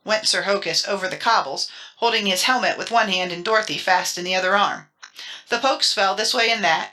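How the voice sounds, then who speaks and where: A woman's voice: mid-range voice, pushed and bright, rough recording. A woman reading a children's storybook as a dramatic audiobook narrator.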